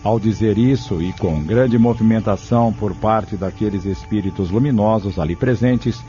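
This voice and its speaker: A man's voice: lowish voice, moderate pace, dark tone, soft background music. A man narrating an audio drama from a script. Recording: dull lo-fi audio drama recording.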